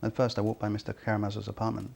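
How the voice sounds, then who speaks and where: A man acting as an uneasy building cleaner giving a statement in a crime drama. His voice is low and very flat, with a gravelly fry. He talks plainly and haltingly, understating everything. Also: slight background noise. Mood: matter-of-fact. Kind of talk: acted police interview testimony.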